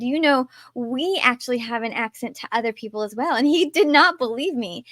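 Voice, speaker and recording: A woman's voice: on the high side, fairly bright tone, dry recording, studio quality. A woman with a Texas accent hosting a podcast, reading a scripted intro and then asking questions. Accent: Texan. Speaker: podcast host. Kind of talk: podcast interview.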